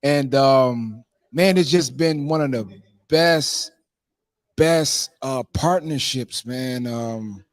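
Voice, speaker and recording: A man's voice: mid-range voice, slow pace, dry recording. Man in a casual interview, dry close sound. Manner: informal, punctuated with "man" and "you know". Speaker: playwright and producer being interviewed.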